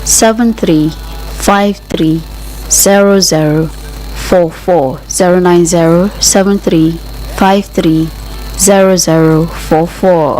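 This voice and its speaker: A woman's voice: lowish voice, a little mellow, rough recording. A woman with a Nigerian accent co-hosting a radio talk show. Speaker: radio co-host. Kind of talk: radio announcements and brief responses.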